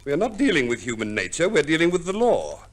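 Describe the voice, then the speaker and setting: An actor playing a fussy, pompous divorce lawyer, formal and precise, in 1950s radio drama style. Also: male voice, on the high side, huge pitch swings, fast. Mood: solemn, precise. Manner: formal, precise, unctuous, hesitant hums. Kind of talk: 1950s radio play acting.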